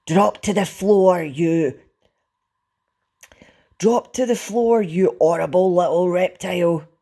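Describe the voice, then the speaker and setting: Man playing a loud, commanding Viking chieftain. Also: mid-range voice, slow pace. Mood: furious roar. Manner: loud, commanding, gruff bark. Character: huge, fierce, booming Viking chieftain father.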